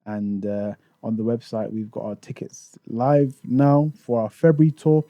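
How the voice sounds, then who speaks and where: A man's voice: mid-range voice, a little slow, dark tone, no room sound. A man raised in the UK, talking casually as a podcast guest about touring in Japan, with many restarts and fillers. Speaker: guest, Japan tour organizer.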